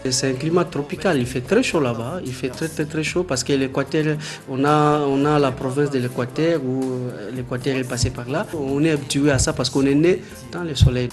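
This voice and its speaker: A man in his thirties from the Democratic Republic of the Congo, a Congolese language his mother tongue, talking earnestly with halting restarts in a documentary interview. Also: mid-range voice, steady tone, music in the background. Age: 30s.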